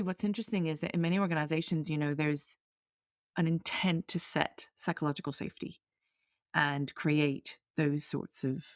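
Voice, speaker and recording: A woman's voice: low-pitched, no room sound, clean studio sound. A woman from Venezuela, a podcast guest talking candidly and reflectively, often restarting and repeating her words. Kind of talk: candid conversational interview.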